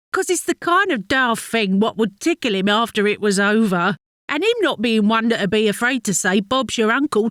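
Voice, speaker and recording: Actress as chatty London housewife, working-class accent, confiding monologue, swooping intonation, close dry sound. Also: female voice, fairly high pitch, fairly bright tone, no room sound. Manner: gossipy, colloquial, confiding. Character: chatty working-class London wife, fond, mildly exasperated gossip. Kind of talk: dramatic monologue, conversational storytelling.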